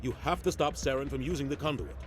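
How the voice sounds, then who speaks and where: A mid-pitched male captain voiced by an actor, a steadfast old soldier and mentor, speaks with grave urgency. Music is clearly audible behind the game dialogue. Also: quick pace, close to an everyday voice. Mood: grave, urgent. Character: steadfast veteran military captain, loyal mentor. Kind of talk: video game voice acting.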